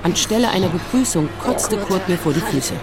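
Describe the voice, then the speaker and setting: A woman's voice: lowish voice, lively intonation, a little fast, loud music behind the voice, close to an everyday voice. An actress playing a disillusioned, self-mocking writer in her forties, narrating in the first person with dry, reflective irony. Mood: dry, wry. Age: 40s.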